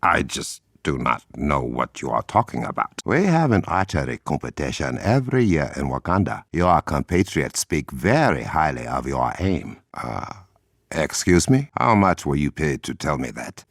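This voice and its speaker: A composed, noble warrior king from a video game, speaking in a remarkably deep, husky voice with an African accent. His pitch moves expressively while his phrasing stays formal and measured, with a dry wit. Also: sing-song, no echo, heavily acted. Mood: reserved, puzzled. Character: dignified Wakandan king and warrior, composed, dryly witty. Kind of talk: video game character dialogue.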